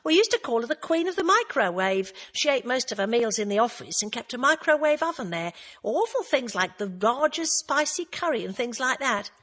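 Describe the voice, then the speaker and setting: A camp, flamboyant man, put on by a woman narrator, chatters away in a high, sing-song voice that swoops and climbs. He teases and fusses theatrically, with a slightly breathy edge. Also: sing-song, a little fast, few pauses, fairly bright tone. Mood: teasing, camp. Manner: flamboyant, teasing, high-flown. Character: camp, chattering middle-aged man, joke-teller.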